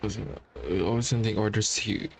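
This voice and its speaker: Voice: mid-range voice, fairly even intonation, quick pace, vocal fry, a little hiss or hum. A game streamer giving casual commentary while playing. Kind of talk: casual let's play commentary.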